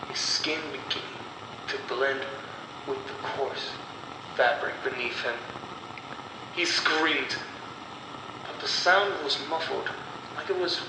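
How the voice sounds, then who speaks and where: A man reading a scripted horror story as storyteller, in a deliberate, dramatic way with pauses between short phrases. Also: medium pitch. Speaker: storyteller and narrator of horror stories. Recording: roomy echo, distant mic, clear background noise.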